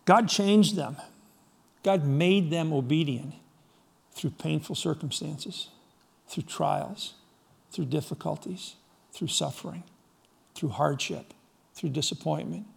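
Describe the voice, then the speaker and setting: A middle-aged man from Scotland preaching a sermon to his congregation, firing rhetorical questions, swinging from loud emphasis to soft, low asides. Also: slow, gravelly, long pauses, breathy edge. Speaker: pastor.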